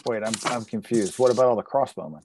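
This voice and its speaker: A man's voice: on the low side, animated, fast, a little mellow, a little hiss or hum. A man chatting casually as a player in a tabletop game, asking about the action.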